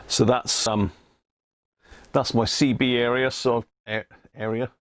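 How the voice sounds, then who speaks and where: British male hobbyist streamer: informal, rambling, chummy, mid-pitched voice in a dry acoustic. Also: little pitch movement, slow, fairly bright tone, dry recording. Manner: rambling, thinking aloud, chummy asides. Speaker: livestream host, CB radio hobbyist. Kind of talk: casual live chat to viewers. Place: indoor radio room.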